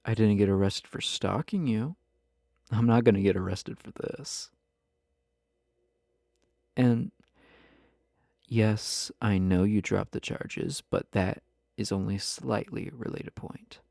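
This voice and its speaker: An actor voicing a flirty, possessive husband in an intimate roleplay audio, teasing the listener with coy, mock-innocent pick-up talk. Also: male voice, lowish voice, slow, creaky, long pauses, a little mellow, no echo, clean studio sound. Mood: sly, mock innocent.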